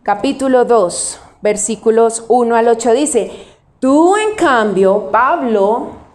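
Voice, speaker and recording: A woman's voice: fairly high pitch, expressive, darkish tone, roomy sound. Woman pastor with a Cali accent preaching. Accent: Cali Colombian Spanish. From Colombia. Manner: questions the congregation and answers them herself; slows right down to stress single words. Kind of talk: sermon.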